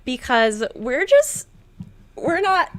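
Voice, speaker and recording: A woman in her thirties from southern Idaho, co-hosting a casual chat in an unhurried, giggly way. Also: high-pitched, wildly swooping pitch, crowd noise. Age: 30s. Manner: unhurried, giggly, loses count mid-sentence. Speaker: co-host, knitwear designer. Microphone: video call.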